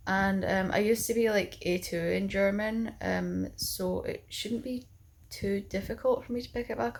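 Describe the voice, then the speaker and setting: A woman's voice: fairly low pitch, vocal fry. A young woman vlogging in a casual chat to the camera, rambling and filling her talk with "like" and "um". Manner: rambling, full of "like" and "um".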